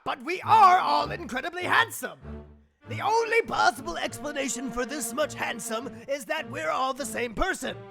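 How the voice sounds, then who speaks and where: Cartoonish villain scientist, piercing and gravelly, grandiose, strained, music behind, audio comedy. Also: male voice, extremely high pitch, lively intonation, moderate pace, heavily acted. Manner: pompous, shouting, grandiose. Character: bombastic, vain mad scientist villain bent on world domination. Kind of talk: comedy audio drama acting.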